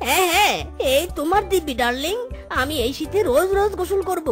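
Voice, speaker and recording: A woman's voice: very high voice, animated, quick pace, faint music behind. A woman voicing a scheming, boastful male fox in a comic cartoon, with a wheedling, theatrical delivery. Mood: coaxing.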